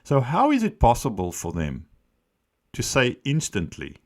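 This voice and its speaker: A man giving unscripted explanatory commentary as a host, speaking deliberately and emphatically, repeating phrases for stress. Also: medium pitch, wildly swooping pitch, darkish tone. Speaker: host giving accident analysis.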